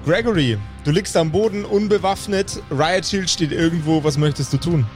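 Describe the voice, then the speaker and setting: Man, game master, slightly high voice, deliberate improvised narration, measured pauses, soft background music.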